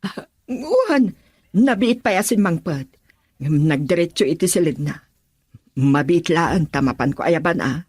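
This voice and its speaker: A woman's voice: fairly low pitch, expressive, unhurried, a little mellow, breathy edge, no room sound. A middle-aged woman playing a prying, worried mother in a radio drama. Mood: coaxing.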